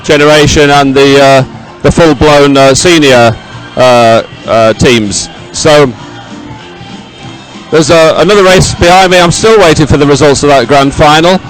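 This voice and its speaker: A man with a somewhat high voice reports live from a sporting event. He is unscripted and halting, searching for words, with faint music behind him. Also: rough recording.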